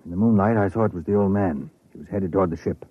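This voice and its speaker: Tinny old radio recording, wary doctor, low husky voice, inquisitive, clipped radio drama acting. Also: male voice, fast talker, no echo. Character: uneasy, inquisitive doctor on a space crew.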